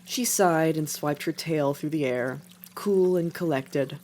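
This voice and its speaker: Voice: mid-range voice, slow pace, a little mellow. A narrator reading scripted fiction as the storyteller of an animal tale. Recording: faint background noise.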